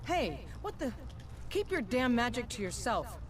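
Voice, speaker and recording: An indignant townswoman from a fantasy game. Her pitch swings widely as she speaks. She sounds far from the mic, buried in heavy noise. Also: big pitch swings, measured pace. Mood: indignant, startled. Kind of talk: video game voice acting. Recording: distant, very noisy game audio.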